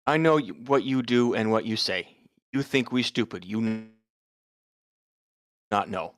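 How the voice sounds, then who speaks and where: A man's voice: mid-range voice, a little slow, a bit bright, natural voice. A man playing a defiant, indignant young man in a script read, arguing in slangy street talk. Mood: accusing. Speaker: amateur actor reading a part. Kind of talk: screenplay table read, dramatic dialogue.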